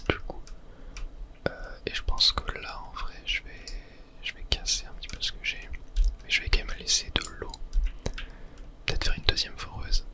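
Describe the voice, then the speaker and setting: Casting: whisperer for ASMR gaming, crisp bright whisper, unhurried murmured play-by-play. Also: extremely high pitch. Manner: soft whispering throughout, relaxed murmured thinking aloud. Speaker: video game player and host. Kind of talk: whispered ASMR gameplay commentary.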